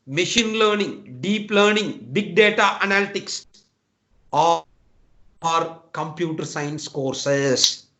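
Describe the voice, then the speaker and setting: A man's voice: high voice, fairly bright tone. A middle-aged man with a Tamil Nadu Indian English accent, giving an earnest online motivational lecture to engineering students and often calling them "my dear friend". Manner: earnest, repetitive, addresses listeners as "my dear friend". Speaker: guest speaker. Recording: dull lo-fi online talk audio.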